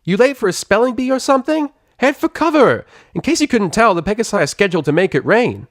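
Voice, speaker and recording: A man's voice: high voice, very animated, fast talker, dry recording, clean studio sound, pitched above the performer's own voice. A man voicing a brash, irritable young female pegasus pony from a cartoon story, with a cracking voice and slangy, blustering delivery. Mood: brash, startled. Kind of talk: animated character voice in a story reading.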